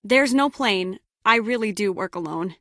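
A woman's voice: mid-range voice, expressive, moderate pace, bright, forceful tone, no room sound, clean studio sound. An actress playing a brusque, sarcastic lone-wolf female spy in a video game, with a curt, biting delivery. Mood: dry, matter-of-fact. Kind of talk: scripted game character dialogue.

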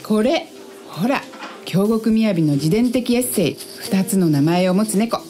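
A woman's voice: mid-range voice, expressive, measured pace, mellow, natural voice. An actress playing a breezy, curious woman boss in a radio drama, casual and teasing. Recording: music behind the voice.

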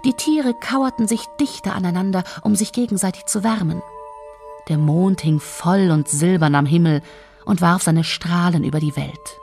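A woman tells a fairy tale with a fairly low, creaky voice. She reads expressively and lingers on descriptive words, with music underneath. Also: moderate pace, darkish tone. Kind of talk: scripted storytelling narration.

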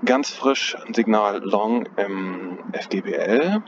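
A man's voice: lowish voice, slow pace. A man casually talking through trading charts, thinking aloud and hesitant, often correcting himself, recorded outdoors on a cold day. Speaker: trading analyst. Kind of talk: casual chart analysis commentary. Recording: dull lo-fi audio with outdoor noise.

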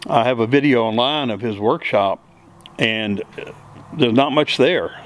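A man's voice: mid-range voice, a little slow. Plainspoken middle-aged man in a hangar. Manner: plainspoken, explains step by step with fillers. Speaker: aircraft builder and pilot guest. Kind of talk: relaxed interview answers. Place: open aircraft hangar. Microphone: handheld mic held by interviewer.

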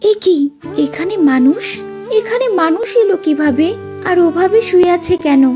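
Fairy-tale cartoon castaway girl female actor: young, high voice, earnest and polite, clear music bed. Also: lively intonation, moderate pace. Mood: anxious, curious. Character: young island girl, lone castaway, caring. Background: music behind the voice.

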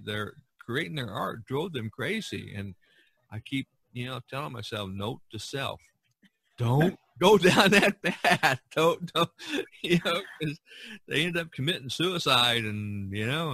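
A man's voice: medium pitch, pushed and bright, breathy edge, no room sound. A middle-aged man hosting a podcast, chatting casually and ramblingly about art. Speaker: podcast host.

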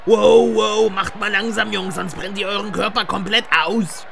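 A man voicing a wise, helpful older male yeti mentor in a cartoon fan dub, gruff and instructive. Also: high-pitched, flat delivery, moderate pace, over a noisy crowd. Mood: alarmed.

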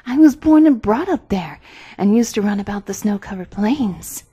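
A woman's voice: mid-range voice, fairly even intonation, moderate pace, warm tone, slightly rough, close to an everyday voice. A woman voicing a proud, wistful reindeer in a storybook, reminiscing with emphasis. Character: proud, wistful reindeer longing for its snowy homeland. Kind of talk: storybook character voice.

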